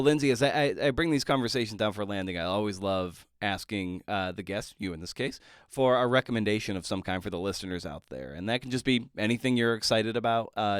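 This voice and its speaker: A man's voice: on the low side, quick pace, clean tone, dry recording, clean studio sound. A man hosting a writing podcast, talking casually in a wry, self-deprecating way with rambling asides. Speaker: podcast host and writer.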